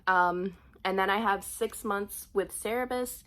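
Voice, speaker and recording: A woman's voice: mid-range voice, a little slow, fairly bright tone, slight background noise. A young woman with a cold, sounding sniffly as she chats casually about books, with frequent ums and restarts. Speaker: book vlogger. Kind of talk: casual book unhaul chat.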